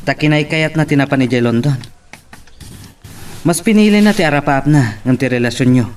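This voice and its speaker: A man's voice: on the high side, brisk, soft background music, natural voice. A man playing a sympathetic, frank friend with an Ilocano accent, questioning and advising in a drama. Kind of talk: Ilocano radio-style drama acting. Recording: distant from the microphone.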